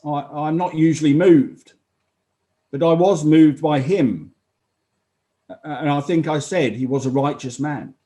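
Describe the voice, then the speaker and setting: A man playing a sober, earnest Roman army officer giving halting, measured testimony in a dramatized inquest. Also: on the high side, darkish tone. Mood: moved, earnest. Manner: halting, measured, solemn witness delivery.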